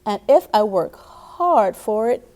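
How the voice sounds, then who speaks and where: A woman's voice: mid-range voice, expressive, slow, a little mellow. Earnest little storybook girl, read by a woman. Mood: determined. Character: hopeful, determined little girl dreaming of space.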